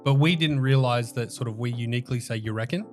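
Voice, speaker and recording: Australian man, mid pitch, laid-back guest speaking with easy fillers. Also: quick pace, soft background music. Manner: relaxed, thoughtful, many fillers like "you know". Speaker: co-streamer guest. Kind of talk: casual interview chat.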